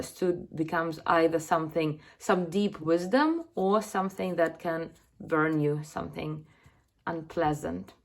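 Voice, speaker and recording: A woman in her thirties from Russia, earnestly explaining a deck of cards to camera, with frequent fillers and restarts. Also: lowish voice. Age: 30s. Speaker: card reader presenting her deck. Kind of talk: casual spoken explanation to camera.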